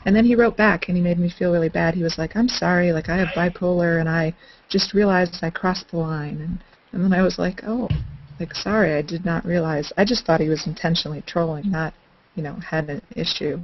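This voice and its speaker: A woman's voice: on the low side, fairly even intonation, fairly quick. A woman chatting casually as a participant in an online group call, in a conversational anecdotal style. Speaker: hangout participant. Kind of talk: casual chat, telling anecdotes. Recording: muffled, noisy video call with clicks.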